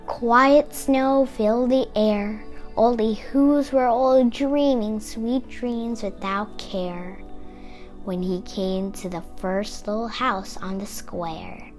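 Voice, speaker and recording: A woman reading a children's story aloud in an expressive, dramatic way with drawn-out emphasis. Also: on the high side, soft background music.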